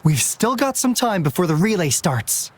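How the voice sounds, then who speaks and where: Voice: mid-range voice, big pitch swings, moderate pace. Young relay athlete, very varied intonation, upbeat, earnest, encouraging, video game dialogue. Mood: hopeful, earnest.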